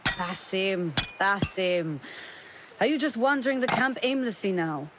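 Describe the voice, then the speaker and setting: A woman's voice: medium pitch, animated, a little slow, noisy background, close to an everyday voice. An actress playing a proud, wry weaponsmith in a video game, teasing and assured in her delivery. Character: proud, wry woman weaponsmith of an assassin camp. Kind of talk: dramatic video game acting.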